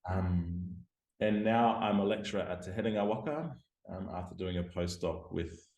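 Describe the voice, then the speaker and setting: Australian-born academic man, deep voice, halting and reflective, roomy video-call sound. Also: darkish tone. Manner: hesitant, many ums, self-deprecating. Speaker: guest lecturer and scientist. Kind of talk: reflective interview talk. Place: home room by a window.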